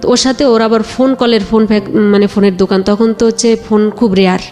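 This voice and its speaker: A Bangladeshi woman telling her own life story conversationally, a little haltingly, quoting remembered exchanges. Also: mid-range voice, steady tone, dark tone, faint music behind. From Bagerhat area, Bangladesh.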